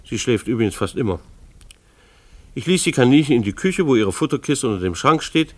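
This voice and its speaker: An actor playing a mild-mannered, beleaguered schoolteacher husband who narrates a German radio play in a wry, earnest, hesitant way. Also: male voice, medium pitch, animated, fairly quick, close to an everyday voice. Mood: wry narrating. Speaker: narrator and lead character.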